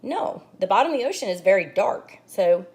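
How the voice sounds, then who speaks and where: Expressive woman reading aloud to kids. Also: mid-range voice, a little fast. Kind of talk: children's read-aloud lesson.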